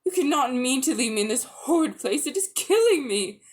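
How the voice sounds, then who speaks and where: A woman's voice: high-pitched, sing-song, fairly quick, pitched above the performer's own voice. A woman voicing a frightened, weakened witch queen in a storybook, pleading faintly in imperious, formal phrases. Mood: desperate pleading.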